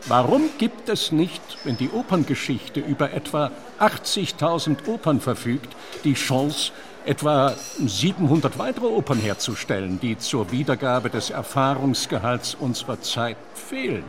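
A man's voice: medium pitch, sing-song, unhurried, a little hiss or hum. A man playing an earnest opera theorist in a radio play interview, speaking formally, learnedly and with emphasis. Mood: earnest, didactic. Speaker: interviewed author.

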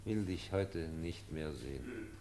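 A man's voice: rumbling low, expressive, slow, heavy background noise, full character voice. A man playing a stern, worried professor in a radio play, emphatic and moralising in his delivery. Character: stern, worried schoolmaster professor, exasperated guardian of a foundling.

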